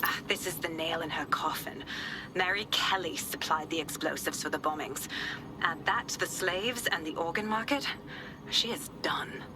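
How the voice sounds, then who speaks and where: A woman's voice: sits low, normal speed, background noise, lightly acted. An actress playing a determined, stern female police inspector in a video game, grave and resolute in manner. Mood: grimly triumphant.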